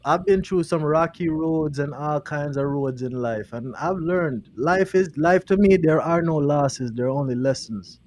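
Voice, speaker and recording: A man's voice: a little high, measured pace, few pauses, a little mellow, slight background noise. A man in his late thirties, a guest in a casual group talk, arguing his point analytically. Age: 30s. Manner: argumentative, analytical. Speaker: panel guest.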